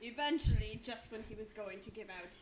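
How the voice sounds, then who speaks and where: A woman's voice: on the high side, brisk, a crowd in the background. A woman reading aloud casually as an amateur, with joking side comments, in a home recording. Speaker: amateur reader in a comedic dramatic reading. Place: friend's house, indoors. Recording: noisy home recording with clicks.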